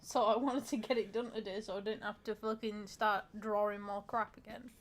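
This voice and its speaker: A woman's voice: mid-range voice, fairly even intonation, moderate pace. A woman in her thirties chatting casually with her partner, swearing and giggling a lot. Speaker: co-host, partner. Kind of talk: casual chat.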